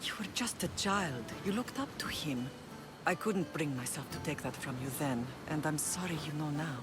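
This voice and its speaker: An actress playing a middle-aged mother who leads a Greek island, speaking in a measured, earnest, formal way in a dramatic game scene. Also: low-pitched, lively intonation. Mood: tender regret. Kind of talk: dramatic game cutscene acting. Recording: music behind the voice.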